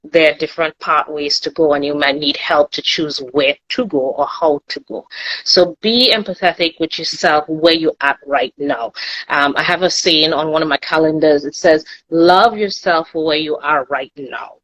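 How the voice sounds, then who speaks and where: Earnest woman, lowish voice. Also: moderate pace, dry recording, low-quality recording. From Trinidad and Tobago. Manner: earnest and gently upbeat. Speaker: wellness guest. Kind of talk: encouraging advice on a live stream.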